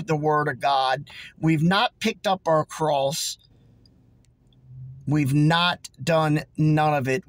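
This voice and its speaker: A man in his forties, hoarse from losing his voice, preaching earnestly and emphatically to a phone camera from inside his car. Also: high-pitched. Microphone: phone held close.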